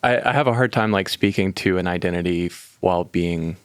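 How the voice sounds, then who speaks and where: A man's voice: low voice, brisk, clear, resonant tone, dry recording, studio quality. A man from North Carolina, a musician guest in an interview, speaking thoughtfully and hesitantly. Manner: thoughtful, hesitant, soft-spoken. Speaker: band member guest. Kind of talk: casual interview.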